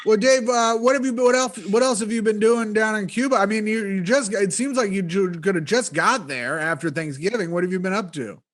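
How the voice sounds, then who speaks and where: A man with a high voice co-hosts a comedy podcast over a video call. He talks quickly and rambles through his riffs, laughing often. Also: a bit bright. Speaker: co-host.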